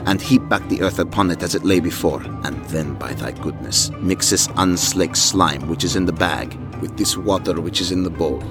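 A man's voice: deep voice, normal speed, few pauses. A man playing a solemn, commanding young prince in an archaic audio drama, with grave, formal, declamatory delivery. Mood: solemn, urgent. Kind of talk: audio drama acting of an archaic storybook tale. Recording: music behind the voice. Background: music.

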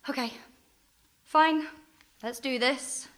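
Bright, high-voiced, brash action heroine. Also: female voice, takes it slowly. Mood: impatient, probing. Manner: brash, impatient, argumentative. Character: plucky, bolshy action heroine of adventure novels. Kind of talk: radio play acting.

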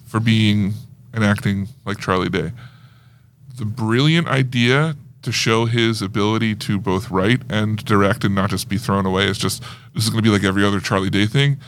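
A man's voice: lowish voice, steady tone, moderate pace. A man co-hosting a podcast, talking casually in a movie review discussion. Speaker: podcast co-host.

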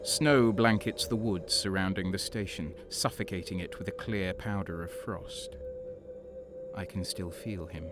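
A man gives voice to a sadistic renegade guardsman who narrates his own story. He speaks low and deliberately, brooding over every line. Clear music runs underneath. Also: fairly even intonation. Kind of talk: first-person audio drama narration.

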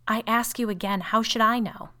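A woman voicing a rigid, indignant, sharp-tongued sister in a gothic storybook, with dry self-control. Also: mid-range voice, expressive, a little fast. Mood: defiant.

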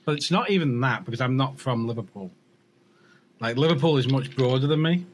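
A man's voice: medium pitch, a little fast, a little hiss or hum. An English man with a light Scouse accent, chatting easily as a podcast guest. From England. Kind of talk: casual chat.

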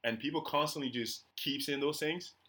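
A young Canadian man hosting a podcast, talking emphatically in casual conversation with a naturally loud voice. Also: a little high, moderate pace. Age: young adult. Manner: emphatic, says he has a loud voice. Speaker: podcast host.

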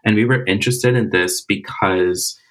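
A man, a cancer researcher giving measured, explanatory interview answers with "uh" and "you know" fillers. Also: on the low side, a bit bright. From Barbados.

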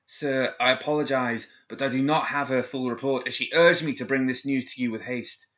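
A man's voice: medium pitch, steady tone, fairly quick, a bit bright, dull audio, voice pushed up, close to an everyday voice. A man voicing a nervous young male guard who stammers and hesitates as he reports.

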